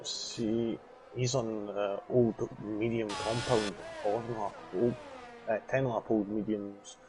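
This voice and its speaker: A man giving casual, chatty colour commentary on an online sim race, with excitable bursts at the incidents. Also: fairly low pitch, slow, background noise. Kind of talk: sim racing color commentary.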